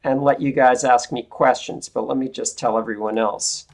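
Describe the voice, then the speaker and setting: A woman instructor playing a politician's aide in a classroom role-play, talking frankly and informally over a video call, her voice lower than usual today. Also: steady tone, moderate pace, gravelly, a little mellow. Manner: frank, informal, restarts often.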